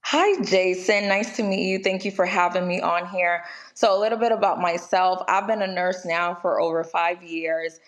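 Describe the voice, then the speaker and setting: A woman's voice: lowish voice, moderate pace. A woman in her twenties from Ghana, a nurse chatting casually as an interview guest with lots of "like" and "you know". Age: 20s.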